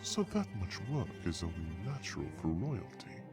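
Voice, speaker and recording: A haughty male dragon of high rank, acted by a man, looks down on everyone with pompous, mock-polite drawling. The voice is fairly low and very expressive in its rise and fall, with music clearly playing behind it in this audio drama. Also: normal speed. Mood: smug, mocking. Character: haughty, condescending regal male dragon, princess's fiancé. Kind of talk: audio roleplay drama acting.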